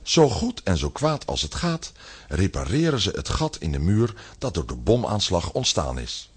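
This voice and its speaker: A man narrates a children's story from a script. His voice is deep and very husky, moving expressively through the tale. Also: big pitch swings, moderate pace.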